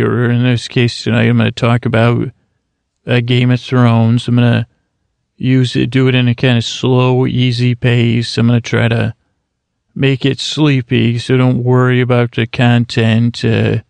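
A middle-aged man with a gravelly, creaky voice drifts through a sleepy ramble, his pitch barely rising or falling. He meanders, trips over his own restarts and keeps everything soothing. The sound is close and dry, with no room around it. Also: little pitch movement, normal speed, darkish tone, no echo. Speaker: sleep podcast host.

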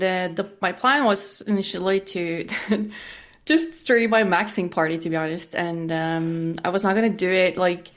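A woman in her twenties with a Norwegian accent, chatting casually as a podcast guest. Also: lowish voice. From Norway. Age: 20s. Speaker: podcast guest, game streamer. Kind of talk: casual chat.

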